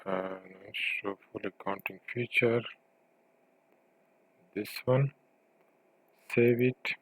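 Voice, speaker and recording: A man narrating an informal software tutorial, thinking aloud with a hesitant delivery as he demonstrates. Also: mid-range voice, fairly even intonation, very slow, poor audio.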